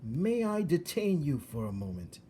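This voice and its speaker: A man's voice: on the high side, sing-song, normal speed, dark tone, slight background noise, pitched above the performer's own voice. A man voicing a scheming male rat in a storybook, with courtly, coaxing, over-polite speech. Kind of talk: storybook character voice.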